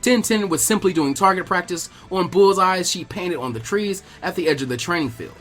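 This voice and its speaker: A man reading fan fiction as animated scripted narration, switching into character voices. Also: sits high, moderate pace. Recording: music behind the reading.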